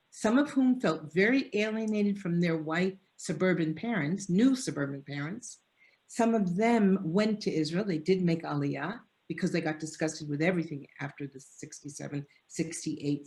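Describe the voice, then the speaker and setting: A woman's voice: on the low side, dark tone. A woman professor answering a panel question over a video call, talking in an earnest, discursive way with restarts. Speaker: guest speaker, professor. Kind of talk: lecture-style answer in an online panel.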